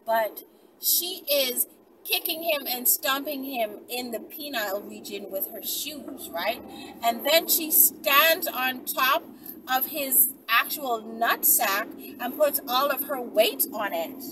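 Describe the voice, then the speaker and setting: A woman's voice: high voice, slow. A young woman telling a story casually and dramatically to the camera, acting out bits as she goes. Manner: dramatic, excitable delivery, acting out crowd shouts and quotes. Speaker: vlogger, storyteller. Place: inside a moving car. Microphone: camera mounted in the car, at about arm's length. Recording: distant mic, loud vehicle road noise. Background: car engine and road noise.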